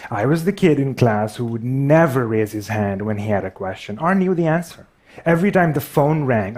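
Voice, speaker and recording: A man's voice: medium pitch, darkish tone. Self-deprecating Pakistani-accented man giving a talk. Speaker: public speaker. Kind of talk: personal storytelling talk to an audience.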